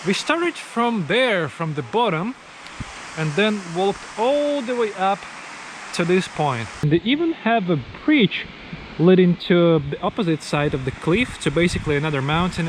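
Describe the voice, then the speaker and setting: A man's voice: high-pitched, a little hiss or hum, poor audio. A man giving unscripted travel commentary as a vlogger, explaining what is around him and often rephrasing himself. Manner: explanatory, repeating and rephrasing phrases. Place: mostly outdoors at a tourist site.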